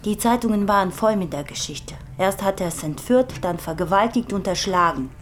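Woman acting, insistent worried girlfriend, medium pitch, radio drama dialogue, faint background noise. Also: expressive, a little fast, natural voice. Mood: indignant, upset. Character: worried, insistent girlfriend pleading with her partner.

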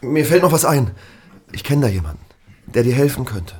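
A man's voice: medium pitch, big pitch swings, brisk, a little mellow, breathy edge. A middle-aged man playing a worried, protective family friend in a naturalistic German audio drama, earnest and hesitant in manner. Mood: worried, pleading.

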